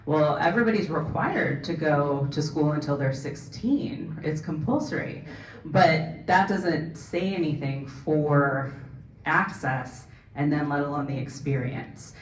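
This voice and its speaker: A woman with a Californian accent answering at a panel forum, earnest, tagging points with "right?" and quick asides. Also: low voice, slight background noise. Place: forum event hall. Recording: echoey hall, mic at a distance.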